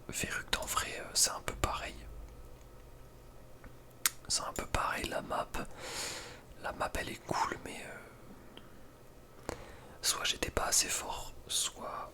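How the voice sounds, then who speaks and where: Whispering young man, ASMR. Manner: informal, slangy, hesitant musing. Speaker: ASMR video creator. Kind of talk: whispered ASMR, casual gaming commentary.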